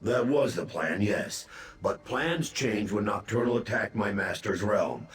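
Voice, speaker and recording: A cocky talking hound from a demon realm. The voice is lowish and swings around a lot, brash and grumbling, with wry bluntness. It rings with echo in a fantasy game. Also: male voice, expressive, a little slow. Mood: wry, blunt. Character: cocky, grumbling male demon hound serving a trickster master. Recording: echoing, faint game ambience noise.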